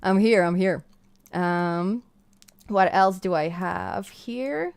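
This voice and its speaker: A woman's voice: mid-range voice, takes it slowly, vocal fry, smooth. A woman with a Hebrew accent hosts her own live stream in a loose, chatty way. She reads the chat as it scrolls by and answers her viewers with lots of uh's and restarts. She pours out thanks to them. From Israel. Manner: chatty and effusive, gushing with thanks, many fillers and restarts. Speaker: channel host.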